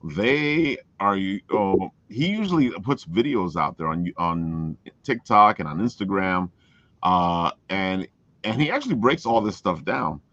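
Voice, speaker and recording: Guy hosting a podcast, really animated voice, excitable, dry but kinda muffled audio. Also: low-pitched, measured pace, dry recording. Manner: excitable, talks to listeners as folks, laughs often. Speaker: podcast host. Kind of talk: casual interview chat. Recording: dull lo-fi call audio.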